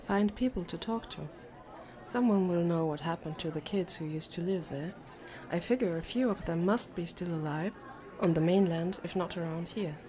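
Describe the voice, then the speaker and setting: A woman's voice: lowish voice, normal speed, mellow, some chatter behind, natural voice. A woman voicing a stubborn, defensive teenage boy in an audiobook, talking in a casual, terse way. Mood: uncertain.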